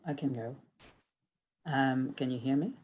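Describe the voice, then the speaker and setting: A woman giving a brief, halting share on a video call. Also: a bass voice, a little mellow. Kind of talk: casual online group check-in.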